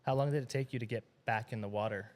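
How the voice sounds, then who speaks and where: A man's voice: medium pitch, fast talker, darkish tone. A man hosting a podcast, asking questions in a casual, friendly, conversational way. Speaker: podcast host. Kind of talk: casual interview.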